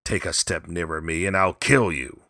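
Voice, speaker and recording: A man voicing a drunken, menacing, greedy old man in a storybook, gruff, blunt and defiant. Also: deep voice, moderate pace, sharp tone, no room sound, studio quality, exaggerated voice. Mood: defiant, loud.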